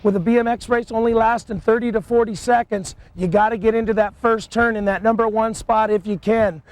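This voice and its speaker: A man's voice: high-pitched, steady tone, brisk. A man hosting an instructional sports video, narrating and interviewing with enthusiastic, slangy talk. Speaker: host and trainer.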